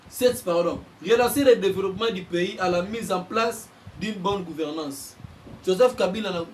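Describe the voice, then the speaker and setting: A Congolese man, a journalist and political analyst, giving impassioned commentary and getting louder as he presses his points. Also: high voice, far from the mic, low-quality recording. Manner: heated, mixes French and Lingala, bursts louder on points.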